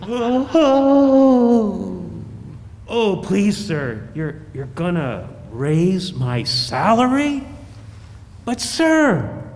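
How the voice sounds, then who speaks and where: An actor playing a timid, apologetic clerk in a radio drama, stammering and halting as he speaks. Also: high-pitched, big pitch swings, darkish tone, exaggerated voice. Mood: astonished. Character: timid, apologetic male clerk, humble and flustered. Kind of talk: 1940s radio play acting. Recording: echoey hall with faint noise.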